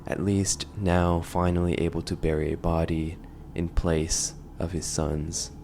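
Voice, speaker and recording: Male commentator, notably low voice, casual but analytical horror video narration. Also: level tone, measured pace, vocal fry, dark tone, faint music behind.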